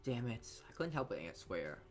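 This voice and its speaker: A man narrates a visual novel as a let's play host. His pitch moves around a lot, he trips on words and re-reads phrases, and game music plays underneath. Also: very animated, moderate pace.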